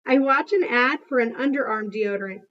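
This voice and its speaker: Storybook gorilla narrator woman reader: gentle, measured, pensive, fairly high pitch, dull recording. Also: close to an everyday voice. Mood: puzzled. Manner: plain, measured, reflective. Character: thoughtful, gentle male gorilla narrator and artist.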